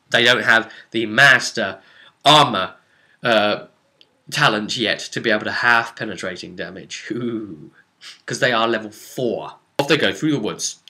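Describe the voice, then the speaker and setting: A man's voice: medium pitch, sharp tone. A man speaking as a game master, telling the story of a tabletop role-play session informally, with emphatic, dramatic delivery. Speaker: game master recapping a campaign.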